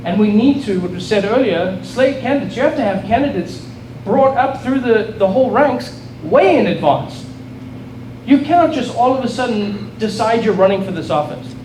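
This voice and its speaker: South African man, middle-aged, high-pitched, earnest political speech, distant and echoey, noisy hall. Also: expressive, moderate pace, darkish tone. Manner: earnest, rallying, repeats and restarts phrases. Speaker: state legislator giving a speech. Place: event hall with an audience.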